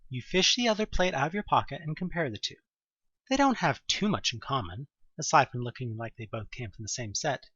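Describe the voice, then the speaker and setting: Male narrator for horror audio drama: animated, husky-edged, wry storytelling, dry and slightly lo-fi sound. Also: mid-range voice, a little fast, no echo. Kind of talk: dramatic scripted second-person horror narration.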